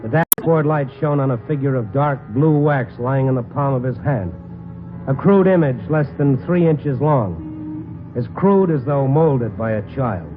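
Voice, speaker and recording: An American actor playing a wry, hard-boiled night reporter in a 1950s radio drama, both narrating and talking in dry slang. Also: male voice, mid-range voice, expressive, measured pace, narrow, tinny audio, over music, lightly acted. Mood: grim, wry narration. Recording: band-limited old radio broadcast recording.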